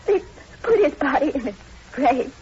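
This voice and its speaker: A frightened young woman in old-time radio horror. Her high voice is earnest and hesitant, with melodramatic dread. The recording is dull with faint hiss. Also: expressive, normal speed, a little mellow. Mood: horrified. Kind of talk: 1940s radio horror acting.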